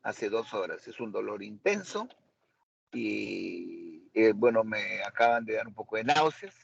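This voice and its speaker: A man's voice: lowish voice, very animated, unhurried, gravelly, husky, no room sound, lo-fi sound. A man teaching a medical seminar, questioning and quizzing his students. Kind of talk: interactive medical lecture.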